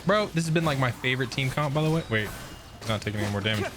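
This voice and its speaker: A man's voice: medium pitch, animated, rapid-fire, background noise. A young man giving casual, slangy and playful gameplay commentary as a streamer. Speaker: gaming streamer.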